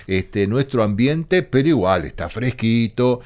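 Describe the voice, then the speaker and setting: A man's voice: mid-range voice, measured pace. Man, Argentine accent, radio host, easygoing chat, filler words. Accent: Argentine Spanish. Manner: relaxed, friendly, with many fillers. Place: radio studio.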